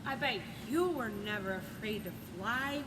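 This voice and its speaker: A woman's voice: on the high side, animated, unhurried. A woman voicing a timid young male reindeer in a children's storybook. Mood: reluctant, doubtful. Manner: hesitant, reluctant. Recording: echoey noisy room.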